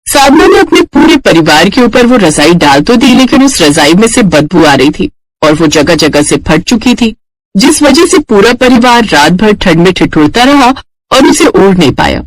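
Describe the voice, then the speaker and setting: A man's voice: high voice, big pitch swings, normal speed, no room sound, low-quality recording. A man narrating a scripted story as a storyteller.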